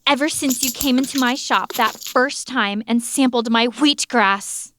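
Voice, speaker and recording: Scheming, wounded woman shopkeeper acted by a woman, high, unhurried, theatrical, comedic mystery drama. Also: a bit bright, slight background noise. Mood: wounded, plaintive. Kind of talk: melodramatic comedic drama dialogue.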